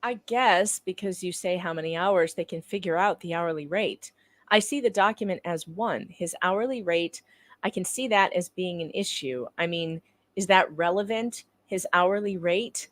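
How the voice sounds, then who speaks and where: A woman's voice: fairly low pitch, normal speed. A woman doing a dramatic reading of courtroom dialogue in a conversational, theatrical way. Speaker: panelist reading court transcript parts.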